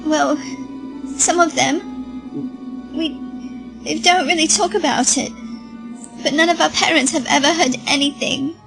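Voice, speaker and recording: Audio drama child role: meek, plaintive little girl, high-pitched, hesitant, over a clear music bed. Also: measured pace, long pauses, low-quality recording. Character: timid, earnest young girl, frightened and unheeded.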